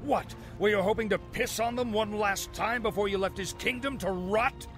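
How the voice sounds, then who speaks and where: An old paladin in a fantasy game speaks with anguished scorn, his voice pitched high over music. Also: moderate pace, a bit bright. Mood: indignant scorn. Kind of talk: fantasy game cutscene acting.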